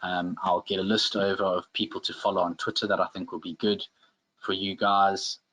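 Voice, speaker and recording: A man's voice: low voice, flat delivery, normal speed, fairly bright tone, slight background noise, low-quality recording. A man with a South African accent presenting an informal live tutorial as a webinar instructor, often hesitant and searching for words.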